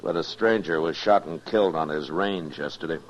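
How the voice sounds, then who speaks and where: An actor playing a stern, steady US marshal in a 1950s radio western, laconic and quietly authoritative. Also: male voice, extremely low pitch, moderate pace. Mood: calm, serious. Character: stern, steady male US marshal in the Old West. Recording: muffled vintage radio recording.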